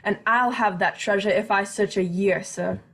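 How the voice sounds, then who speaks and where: A woman playing a pompous, excitable country squire in a radio play, with emphatic old-fashioned diction. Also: medium pitch, moderate pace, gravelly, a little hiss or hum. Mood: excited, commanding.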